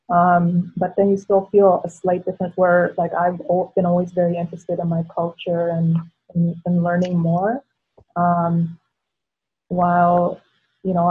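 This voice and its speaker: A woman's voice: on the low side, breathy edge. A woman in her thirties, born in the Philippines with Tagalog as her first language, sharing her story reflectively on a video call from home, with many ums and likes. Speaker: panel guest. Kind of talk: casual personal storytelling. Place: home living room. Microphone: laptop webcam on a video call. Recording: tinny video call audio.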